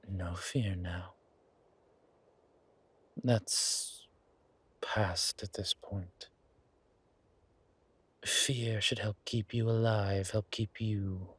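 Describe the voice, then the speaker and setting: Male actor as predatory vampire, very husky and breathy, very slow and deliberate, calm menace, intimate ASMR horror. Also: low-pitched, exaggerated voice. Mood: savoring, menacing. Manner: slow, deliberate, hushed, soothing yet menacing. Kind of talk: ASMR horror roleplay, intimate monologue to the listener.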